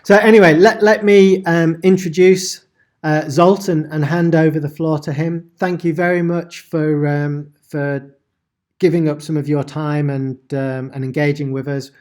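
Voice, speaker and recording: A man's voice: on the high side, flat delivery, unhurried, a little mellow. A man hosting an online seminar, presenting examples in a rambling way with many filler sounds. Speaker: seminar host. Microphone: video call.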